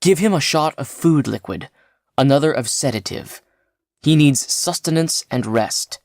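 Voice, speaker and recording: A storyteller voicing a calm, kindly spaceship crewman who talks in a brisk, matter-of-fact way. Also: mid-range voice, no room sound, clean studio sound, pitched above the performer's own voice. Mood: soothing.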